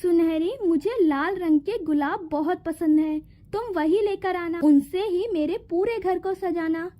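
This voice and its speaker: A woman's voice: very high-pitched, unhurried, dark tone. A woman voicing a jealous, scheming fairy in a cartoon, possessive and calculating. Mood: falsely sweet. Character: jealous scheming female fairy who owns a garden.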